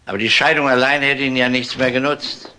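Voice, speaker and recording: An actor playing a methodical, world-weary police detective in a crime drama, questioning in a measured, insistent way. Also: male voice, medium pitch, fairly even intonation, sharp tone, a little hiss or hum. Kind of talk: German TV crime drama dialogue.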